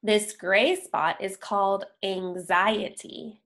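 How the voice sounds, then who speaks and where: A young adult woman reading a story aloud for young children, enunciating deliberately with pauses between words. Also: mid-range voice, steady tone, fairly bright tone. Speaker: children's picture book reader. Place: indoor room, on a video call.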